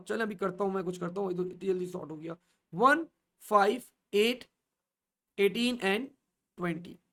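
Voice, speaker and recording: A man teaching an online coding class, asking the class questions and answering them himself as he steps slowly through each value. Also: high-pitched, a bit bright. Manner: slow and repetitive, stepping through values. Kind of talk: online coding lesson in mixed Urdu and English.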